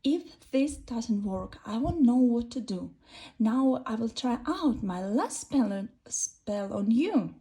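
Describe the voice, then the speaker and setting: Little witch girl, impatient, fiery, high and bouncy , woman puts her on, storybook read. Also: takes it slowly, husky, exaggerated voice. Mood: angry. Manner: excited, huffy exclaiming. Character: little female witch, impatient and hot-tempered.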